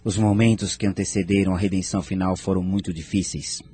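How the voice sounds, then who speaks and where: A man playing a noble spirit mentor in an audio drama, narrating in a measured, formal, grave manner. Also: deep voice, little pitch movement, mellow, slightly rough, soft background music. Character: Inumar, grave noble male spirit mentor recounting history.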